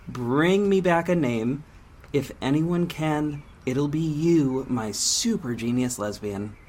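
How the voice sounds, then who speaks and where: A man speaking drama dialogue in a brisk, evasive, joking way. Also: a little high, expressive, darkish tone. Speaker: lead character in dialogue.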